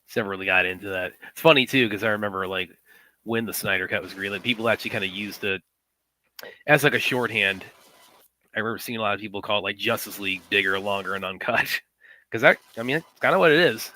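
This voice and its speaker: A man's voice: on the low side, quick pace, pushed and bright, low-quality recording. A young man co-hosting a live stream, rambling with many fillers and restarts, sniffling from a runny nose. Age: young adult. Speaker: co-host. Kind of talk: casual live stream chat. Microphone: computer headset mic with sound trouble.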